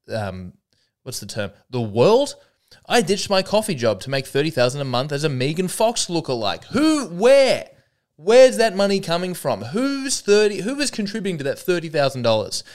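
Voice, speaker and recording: A man hosts his own comedy podcast solo. His voice sits in a middle register but swoops up and down a lot as he jokes. He rambles with dry sarcasm and mocks himself along the way. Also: on the high side. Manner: sarcastic, rambling, self-deprecating.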